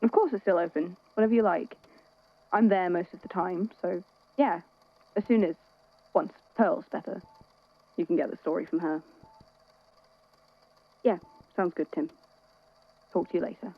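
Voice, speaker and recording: A caring, tired friend acted by a woman speaks in a lowish voice with hesitant, wry, conversational delivery. It is naturalistic audio drama, recorded a little muffled. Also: normal speed, long pauses. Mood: awkward, subdued. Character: worried, weary, caring friend at a hospital bedside.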